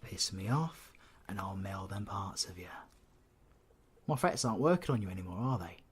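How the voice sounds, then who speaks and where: A British man playing a cocky, menacing, flirtatious supervillain in an audio roleplay, husky and sardonic, often dropping to breathy whispers. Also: low-pitched, animated, normal speed, heavily acted. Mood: flirty, threatening. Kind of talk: scripted audio roleplay, ASMR drama.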